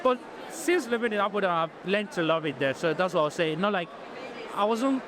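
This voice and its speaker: A man from Nigeria, giving measured, thoughtful answers in an interview. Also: sits high, expressive, a crowd in the background.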